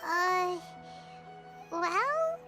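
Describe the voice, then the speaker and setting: An actress voicing a tiny, chirpy, fretful female fairy companion in a video game, with a squeaky, childlike voice. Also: sing-song, drawn-out words, full character voice. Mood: hesitant. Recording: loud game music behind the voice.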